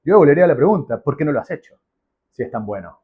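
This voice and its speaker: A man's voice: a little high, big pitch swings, fast talker, slightly rough. A man with a Venezuelan accent answering as an interview guest, explaining emphatically in a conversational way and repeating phrases. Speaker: podcast guest, business professional. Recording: muffled, phone-like narrow band.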